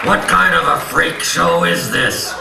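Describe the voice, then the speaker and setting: A man's voice: on the high side, measured pace, creaky. A man voicing a brash, indignant barnyard animal puppet in a comic stage show, scolding and blustering. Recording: echoey live hall, distant, faint noise.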